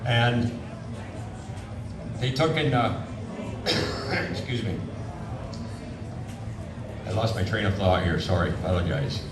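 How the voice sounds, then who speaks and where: A man's voice: lowish voice, slow pace, long pauses. Older Upstate New York man telling a story. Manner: plain-spoken, rambling, self-correcting. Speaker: retired police officer telling a sighting story. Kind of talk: storytelling talk to a festival crowd. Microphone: handheld microphone. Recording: echoey, from far off, loud music behind.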